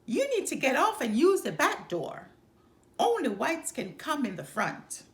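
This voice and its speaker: A woman voicing an angry, bullying male bus driver in a storybook, loud, commanding and scolding. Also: sits high, wildly swooping pitch, a little slow, roomy sound, voice pushed up, full character voice. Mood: stern, indignant.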